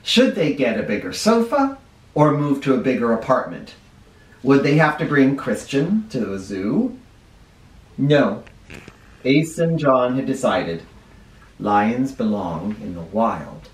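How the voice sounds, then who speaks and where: A man's voice: medium pitch, slow. A man who teaches first grade, reading a children's picture book aloud and stopping now and then to explain words to young listeners. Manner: expressive, stops to explain words to kids. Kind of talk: children's read-aloud with asides. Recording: roomy echo, mic at a distance.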